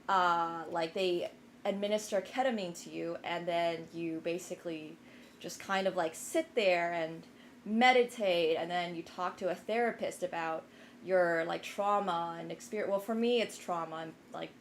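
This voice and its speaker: A woman's voice: fairly low pitch, normal speed, slight background noise. A young woman who grew up in Finland, chatting casually with her live stream viewers, rambling with lots of fillers and restarts. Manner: rambling, many fillers and restarts, self-deprecating.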